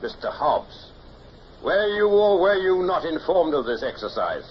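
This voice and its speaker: A man's voice: on the high side, very animated, a little slow. A coldly menacing naval captain from an old radio play. He questions people imperiously and sardonically, with sharply swinging intonation. The sound is dull, with some hiss. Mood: suspicious, demanding. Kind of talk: period radio drama acting.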